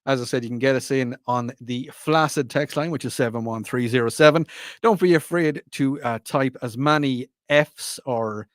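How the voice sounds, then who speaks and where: A man hosts a radio chat show in a playful, rambling, teasing way. His voice sits at a middle pitch. The studio sound is dry and close. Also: normal speed, no echo. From Ireland. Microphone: studio microphone.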